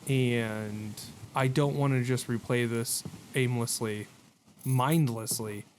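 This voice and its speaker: A man's voice: mid-range voice, fairly even intonation, slow pace, a little hiss or hum. A man doing casual let's-play commentary as a gaming host, joking and self-deprecating in slangy talk.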